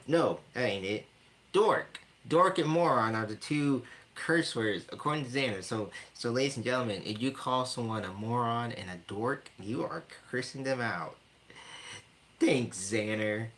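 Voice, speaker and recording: Guy ranting on his stream, repeats himself, trips over his words a lot, bright punchy voice. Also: on the low side, a little hiss or hum. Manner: ranting, repetitive, stumbling restarts. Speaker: streamer host. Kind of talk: casual livestream rant.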